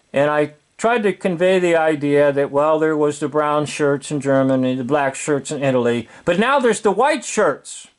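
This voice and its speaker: An older man with an American accent giving informal, opinionated commentary in a sardonic, deliberate way, full of rhetorical questions. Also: fairly high pitch.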